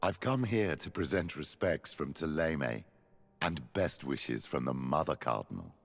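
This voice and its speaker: An actor playing a pious, unctuous bishop and church emissary in a video game, grave and ceremonious. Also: male voice, rumbling low, expressive, unhurried, gravelly, full character voice. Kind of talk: video game cutscene acting.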